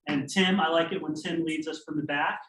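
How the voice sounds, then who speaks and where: A man's voice: on the high side, steady tone, a little fast, fairly bright tone. A man hosting a club meeting, making friendly, chatty announcements with "um" fillers. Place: church meeting hall. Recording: echoey hall, mic at a distance.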